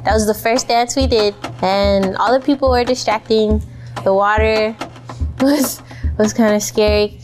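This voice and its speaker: A woman's voice: mid-range voice, fairly even intonation, unhurried, music in the background. A 23-year-old woman talking in a casual, chatty interview. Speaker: contestant.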